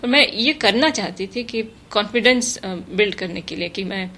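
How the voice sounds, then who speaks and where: A woman giving measured, thoughtful interview answers, mixing English terms into her speech. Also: mid-range voice. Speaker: interview guest, translator. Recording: noticeable steady background noise.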